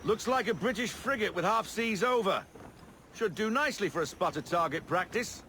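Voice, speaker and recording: An actor playing a spirited, seasoned sailor in a video game, with a salty, hearty way of talking. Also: male voice, high-pitched, animated, moderate pace, pushed and bright, slight background noise, exaggerated voice, low-quality recording. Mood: hearty, instructive. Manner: salty, hearty, old-time seafaring talk. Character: gruff, spirited older seasoned naval sailor mentoring a young captain. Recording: music behind the voice.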